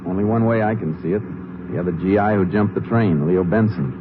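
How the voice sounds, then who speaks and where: An actor playing a calm Texas Ranger investigator who narrates and questions tersely in 1950s radio drama style. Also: male voice, low-pitched, brisk, noisy background, lightly acted. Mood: matter-of-fact. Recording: muffled old radio broadcast.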